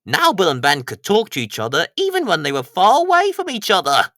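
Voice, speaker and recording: Male children's storyteller, scripted narration, very animated intonation, close dry sound. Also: sits high, fairly quick, dry recording.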